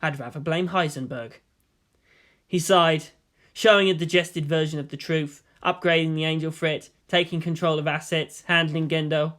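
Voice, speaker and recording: A man's voice: fairly high pitch, flat delivery, normal speed, fairly bright tone. A man reading scripted fiction aloud as an audiobook narrator.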